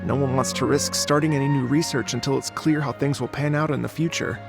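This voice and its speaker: A man's voice: medium pitch, steady tone, fast talker, vocal fry, music in the background, natural voice. A man voicing a chatty, work-shy young academic in a video game, explaining things candidly. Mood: casual.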